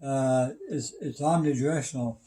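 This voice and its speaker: An older man in his seventies explaining things casually, in a halting, stammering, matter-of-fact way. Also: medium pitch, dark tone. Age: 70s. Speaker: retired systems engineer and radio hobbyist.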